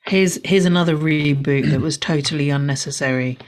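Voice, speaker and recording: A woman's voice: low-pitched, fairly quick. A woman in her forties co-hosting a podcast, chatting casually, laughing often and swearing freely. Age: 40s. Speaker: podcast co-host. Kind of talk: casual chat.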